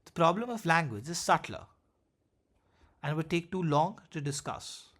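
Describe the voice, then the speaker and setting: Higher-pitched man reading an essay aloud. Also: lively intonation, a little slow, a bit bright. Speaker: essay reader. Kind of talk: read-aloud literary essay narration.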